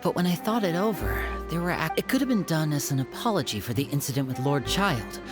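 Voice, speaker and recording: Young male game magician: suave, courteous, animated melody in the voice. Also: deep voice, brisk. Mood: polite, informative. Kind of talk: video game voice acting. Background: music.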